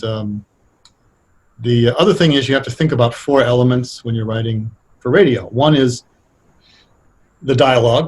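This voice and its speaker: A middle-aged or older American man explaining things conversationally as an interview guest, thoughtful and halting as he picks his words. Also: mid-range voice. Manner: hesitant, thoughtful, halting delivery. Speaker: interview guest, radio playwright.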